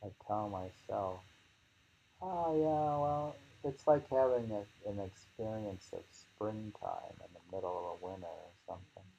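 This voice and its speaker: A man reading poems aloud in a slow, halting, musing way, repeating lines and adding informal, rambling commentary, on a dull lo-fi recording. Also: on the low side, creaky, mellow, breathy edge. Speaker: reader and commentator of poetry.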